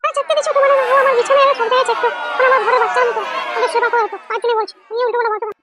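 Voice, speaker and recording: A performer voicing a bossy, coaxing village goat owner woman with a squeaky voice in a comedy cartoon. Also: steady tone, racing, bright, forceful tone, no room sound, a faint crowd. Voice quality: squeaky, artificially high voice.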